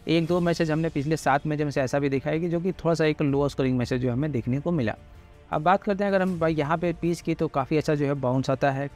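Rapid rambling man explaining cricket. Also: on the high side, darkish tone. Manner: rapid, rambling, heavy filler "jo hai". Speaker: cricket fantasy tipster. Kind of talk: casual Hindi pitch-report explainer over slides. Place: voice-over on screen recording.

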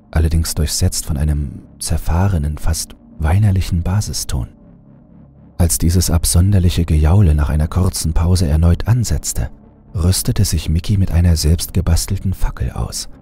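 Creepypasta narrator: man, extremely low and dark voice, scripted storytelling.

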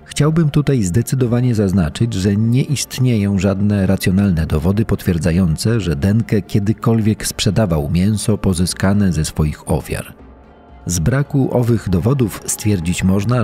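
A man playing a formal, pedantic official giving a measured statement in a dramatized crime documentary. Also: fairly low pitch, mellow, faint music behind, lightly acted. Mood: measured, emphatic. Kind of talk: dramatized reading of a historical statement.

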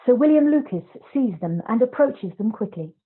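A woman's voice: a little high, animated, moderate pace, slightly rough. A woman narrating a play from a script over a video call. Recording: dull, muffled video call audio.

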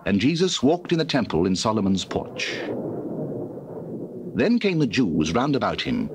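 Low-pitched, animated male Bible narrator. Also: a little slow. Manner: formal, storytelling. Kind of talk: scripted dramatized Bible narration. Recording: noisy old cassette.

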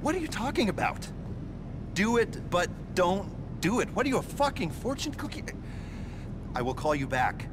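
An actor playing a nervy, evasive, foul-mouthed covert arms dealer in a video game cutscene, rambling and stammering, then abruptly bossy. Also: male voice, sits high, sing-song, moderate pace. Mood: irritated. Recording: clear vehicle and ambient noise.

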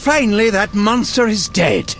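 An eccentric game alchemist, high-voiced and very animated. He speaks like a fussy scholar, precise and morbidly curious, over faint music. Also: moderate pace. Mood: intrigued. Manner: erudite, precise, relishing grisly detail. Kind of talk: video game dialogue acting.

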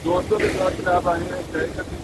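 A middle-aged man, a vlog host cheerfully narrating while holding his phone out on a bridge in the rain. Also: sits high. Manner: cheerful, lively, repeating praise for the weather. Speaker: vlogger host. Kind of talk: casual travel vlog narration. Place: outdoors by a river, on a bridge in the rain. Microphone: phone held at arm's length. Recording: wind noise and rushing water.

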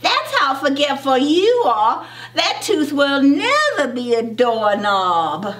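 A woman's voice: very high-pitched, very animated, takes it slowly, far from the mic, voice pushed up, exaggerated voice. A woman voicing a sassy, teasing little country girl in a storybook, with a singsong, taunting, folksy way of talking.

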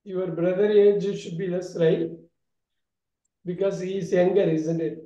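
A man's voice: sits high, fairly even intonation, measured pace, mellow. A man with an Indian accent teaching English on a video call, asking questions, repeating phrases and correcting in an instructive manner. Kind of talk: online spoken English lesson, questioning and correcting. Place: online video call from a room. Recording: muffled, roomy, distant video call audio.

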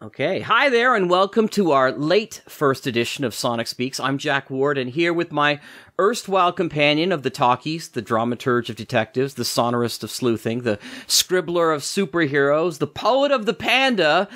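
A man's voice: on the high side, lively intonation, moderate pace, bright, forceful tone. A man hosting a podcast interview, talking in a wordy, playful, teasing way. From Canada. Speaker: podcast host. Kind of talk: chatty interview with playful scripted introduction.

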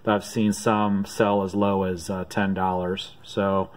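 A man casually showing his auction finds to the camera from behind it, filling his speech with "uh" pauses at home. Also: flat delivery. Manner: unhurried, many "uh" pauses, low-key. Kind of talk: casual off-camera show-and-tell. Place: home room at a desk.

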